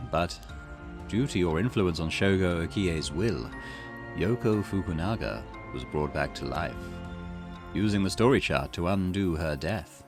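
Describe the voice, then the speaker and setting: A cryptic, formal storyteller figure, read aloud by a man, speaking in a low voice that rises and falls widely. The delivery is measured and theatrical, with game music playing clearly behind him. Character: cryptic, formal male storyteller guiding the player. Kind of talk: reading game dialogue aloud.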